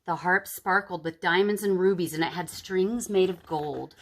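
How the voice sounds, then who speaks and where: A woman storyteller reading a picture book aloud to children in an expressive, dramatic and emphatic way. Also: on the low side, moderate pace.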